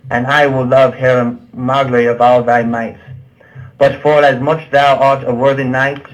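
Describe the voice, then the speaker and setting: A man reading archaic verse aloud for a puppet show, stumbling over the old words. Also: medium pitch. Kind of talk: halting read-aloud of Middle English verse. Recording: dull, muffled lo-fi sound.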